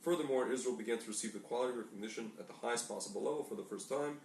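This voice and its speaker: A man's voice: medium pitch, racing, a little mellow. A man co-hosting a casual talk show, reading aloud from documents and often stumbling and correcting himself. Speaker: podcast co-host. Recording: echoey room, distant mic, faint noise.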